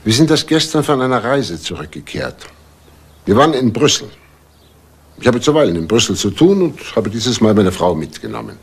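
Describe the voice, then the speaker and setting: An indignant, formal homeowner in a crime drama. The voice is husky and mid-pitched, with lively swings in intonation and a clipped, haughty manner. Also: male voice, normal speed, heavily acted. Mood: wary, questioning. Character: indignant, well-off married male homeowner under questioning. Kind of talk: German TV crime drama acting.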